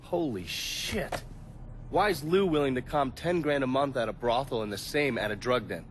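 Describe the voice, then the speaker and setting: An actor playing a shrewd, sardonic intelligence operative in a video game, dry and matter-of-fact. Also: male voice, mid-range voice, moderate pace, a little hiss or hum, close to an everyday voice. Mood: wry, intrigued. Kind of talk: cinematic game dialogue, part recounted testimony.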